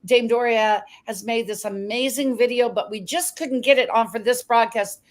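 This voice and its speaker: A woman's voice: on the high side, moderate pace. A woman hosting an online talk show, speaking effusively and gushing with praise, often restarting her sentences. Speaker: show host. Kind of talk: casual interview chat.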